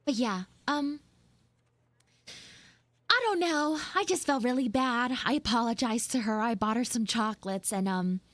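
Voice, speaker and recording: Woman playing a high-voiced, pouty tsundere anime girl. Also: no echo, close to an everyday voice. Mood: bashful. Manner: defensive, pouty, halting and repetitive. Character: flustered, defensive tsundere anime schoolgirl, mean but secretly soft. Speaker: livestreamer and VTuber voice actress. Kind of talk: improvised live audio roleplay with chat asides.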